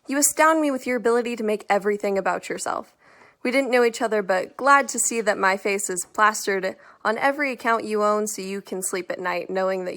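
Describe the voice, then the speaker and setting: A woman's voice: medium pitch, a bit bright, natural voice. A young woman playing a frank, wry teenage girl in an amateur drama, with a direct, dry delivery. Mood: bitterly sarcastic. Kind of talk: amateur stage drama monologue.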